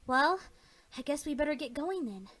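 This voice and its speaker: Woman as an earnest, bright-voiced bookish pony. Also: sits high, very animated, moderate pace, dry recording, pitched above the performer's own voice. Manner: earnest, reassuring. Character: sensible, bookish, reassuring female unicorn pony leader.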